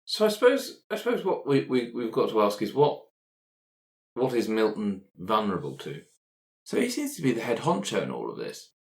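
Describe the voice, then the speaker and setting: A man's voice: fairly low pitch, huge pitch swings, moderate pace, gravelly. A man playing a tabletop roleplaying game in conversation, hesitantly repeating his words as he goes. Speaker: player in an actual-play podcast.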